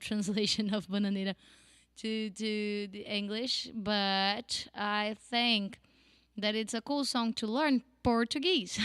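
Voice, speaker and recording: A young Brazilian woman with a Brazilian accent talks through a singing lesson, chatty and quick to laugh. Her voice is very clear and smooth, sitting at a medium pitch. The sound is dry and close. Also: a bit bright, dry recording. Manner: chatty, laughs often, restarts phrases. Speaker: singing teacher. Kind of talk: casual online lesson.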